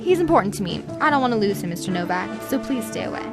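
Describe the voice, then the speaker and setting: An actress playing a guarded, dangerous femme fatale in a 1940s radio noir, cool, clipped and insistent. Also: female voice, mid-range voice, very animated, quick pace, music in the background, natural voice. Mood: insistent, warning. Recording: 1940s radio broadcast recording.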